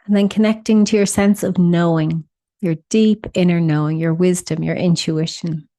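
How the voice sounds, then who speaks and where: Mellow, low-voiced woman guiding meditation. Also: steady tone, no room sound, studio quality. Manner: soothing, instructional, with deliberate pauses. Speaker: meditation guide and host. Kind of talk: guided meditation.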